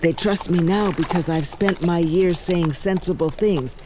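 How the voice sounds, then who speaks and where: A kindly older matron with a lowish voice, played by an actress in a fantasy audio drama. She speaks in a measured, matter-of-fact, coaxing way, firm and encouraging, with noticeable noise behind her. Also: lightly acted.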